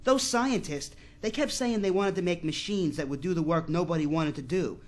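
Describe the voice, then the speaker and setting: A man's voice: on the high side, normal speed, close to an everyday voice. A man playing an earnest, devout employee in a science fiction radio drama, plain-spoken, hesitant and moralizing. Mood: earnest, indignant.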